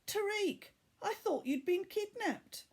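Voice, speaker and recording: Woman voicing high, indignant young girl. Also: expressive, slow, exaggerated voice. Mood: alarmed. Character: frightened, indignant girl, bound captive, headstrong. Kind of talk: children's audiobook character voice.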